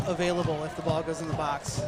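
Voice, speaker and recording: A man's voice: on the high side, little pitch movement, fast talker. A man giving color commentary on a live soccer match, eagerly urging the players on. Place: outdoor soccer field. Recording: very loud crowd and outdoor noise. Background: loud cheering crowd.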